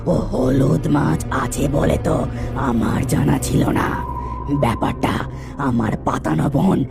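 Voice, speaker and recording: A woman voicing a scheming male serpent king in a cartoon, speaking slowly and low. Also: expressive, creaky, full character voice. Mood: suspicious. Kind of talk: cartoon voice acting. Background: background music.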